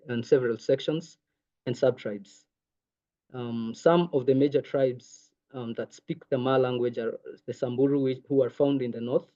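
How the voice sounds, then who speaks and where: Young man, from Kenya, Maasai native speaker, warm tone, earnest, lo-fi video call. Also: mid-range voice. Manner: earnest, many ums. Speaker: intern presenter. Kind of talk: online presentation. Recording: muffled video call audio.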